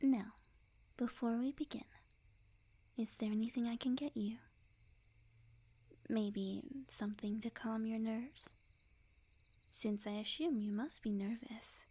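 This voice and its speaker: A woman acts out a lovestruck witch in an ASMR roleplay, sweet on the surface and possessive underneath. Her voice is soft, very breathy and often whispered, with a mellow tone. She speaks slowly, coy and teasing, fully sure of herself. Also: a little high, steady tone. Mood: smug, teasing. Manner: slow, coy, teasing, overconfident. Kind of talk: ASMR roleplay, scripted, addressed to the listener.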